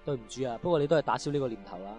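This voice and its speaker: A male actor as a firm, protective prison guard in a radio drama. He is earnest and speaks extremely fast in an average male pitch. Music is clearly heard beneath him. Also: lively intonation, darkish tone, natural voice. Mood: earnest, apologetic. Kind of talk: amateur radio drama acting.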